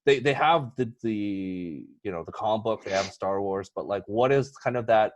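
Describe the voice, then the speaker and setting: A man hosting a casual podcast discussion, talking in an animated, teasing, bantering way. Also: fairly low pitch, moderate pace. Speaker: podcast host.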